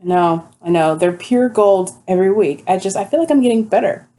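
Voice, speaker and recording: A woman in her twenties co-hosting a casual podcast, upbeat and quick to laugh, with a groggy morning voice. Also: mid-range voice, warm tone. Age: 20s. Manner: upbeat, laughs easily, repeats herself. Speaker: podcast co-host. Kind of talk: casual chat.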